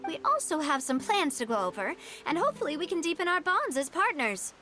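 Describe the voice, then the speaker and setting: An actress voicing a brisk, self-assured young woman leader in a game, speaking in a teasing, offhand way. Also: sits high, very animated, bright, forceful tone, exaggerated voice. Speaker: game character. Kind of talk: game voice acting. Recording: game audio with faint music.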